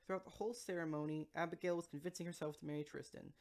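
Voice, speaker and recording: A woman with a low-pitched voice reads fiction aloud as a podcast host. Her delivery is that of an amateur storyteller, with the occasional stumble over a word. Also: fairly even intonation, very fast, a little mellow. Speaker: podcast co-host reading short stories aloud.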